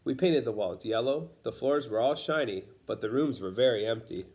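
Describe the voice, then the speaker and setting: A man's voice: mid-range voice, normal speed, darkish tone. Man reading a children's picture book aloud. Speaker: storybook reader.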